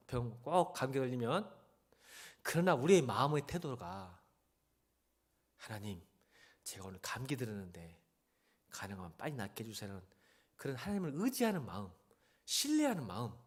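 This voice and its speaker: A man preaching a church sermon as a pastor, conversational yet exhorting, often posing rhetorical questions straight to the congregation. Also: on the high side. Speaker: senior pastor. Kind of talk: church sermon with Bible reading.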